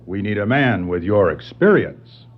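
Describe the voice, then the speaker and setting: An actor playing an authoritative, dryly amused police commissioner in a 1960s radio-play style. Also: male voice, fairly low pitch, expressive, a little slow. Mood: wry. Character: authoritative, wryly amused middle-aged male police commissioner. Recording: dull 1960s vinyl record.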